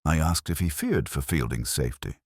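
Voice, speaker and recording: A man with an extremely low voice narrates a scripted detective audiobook in a formal, measured way. His pitch moves expressively from phrase to phrase, and the sound is dry. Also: expressive, no room sound. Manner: formal, measured, literary storytelling.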